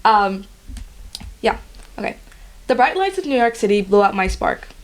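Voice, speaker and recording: A young woman from Guyana, a podcast guest, reading her college admissions essay aloud in an earnest, steady way. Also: medium pitch, normal speed. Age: young adult.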